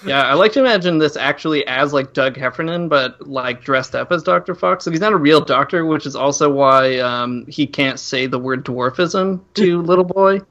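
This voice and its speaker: A man's voice: a little high, moderate pace, hardly any pauses, fairly bright tone, highs cut off. A man chatting casually and comedically as a podcast host, joking and drawing things out in a teasing way.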